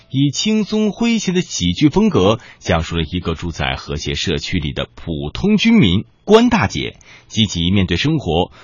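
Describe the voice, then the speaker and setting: A man presenting a radio drama with scripted, expressive introduction and narration. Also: deep voice, husky, no room sound. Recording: dull audio with music behind.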